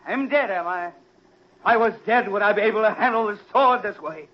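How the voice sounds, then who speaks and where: A man's voice: high-pitched, a little fast. An actor playing a frantic, ruthless man trapped by the Devil, agitated and defiant in a melodramatic 1940s radio thriller style. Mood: defiant, desperate. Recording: dull old-time radio recording.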